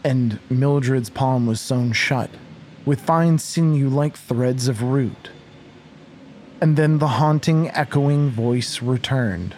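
A man narrating a scripted horror audio drama in a measured, literary storytelling manner. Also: mid-range voice, darkish tone, a little hiss or hum.

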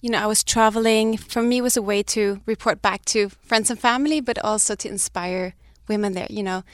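A woman's voice: medium pitch, steady tone, a little fast, no echo, clean studio sound. A woman in her thirties who grew up in Sweden, answering interview questions as a fashion brand founder, reflective and restarting her sentences. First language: Danish or Swedish. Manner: reflective, with frequent restarts and light laughs.

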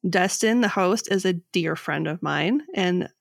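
A woman in her thirties co-hosting a casual podcast, reading her notes and chatting, with a slightly raspy voice. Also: lowish voice, measured pace, dry recording, studio quality. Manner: reads notes aloud, says her voice is getting raspy. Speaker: podcast co-host.

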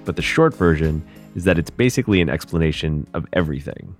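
A man hosting a podcast and reading a scripted introduction in a measured way. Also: deep voice, animated. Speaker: podcast host. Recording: clear music bed under voice.

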